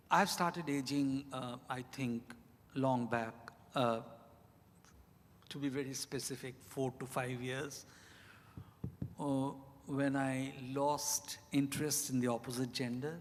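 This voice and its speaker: A middle-aged man with an Indian accent answering questions as an author, speaking reflectively and deliberately, often repeating phrases. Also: medium pitch. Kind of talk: reflective live Q&A at a literary event. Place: large indoor event hall at a hotel. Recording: reverberant hall, amplified live event.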